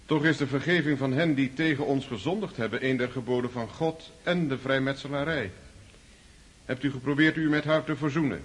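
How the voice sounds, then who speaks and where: A man's voice: mid-range voice, fairly quick, lightly acted. A man acting in a radio drama as a righteous lodge brother who questions and admonishes in formal speech. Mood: stern, probing. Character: stern, righteous freemason lodge brother, interrogating.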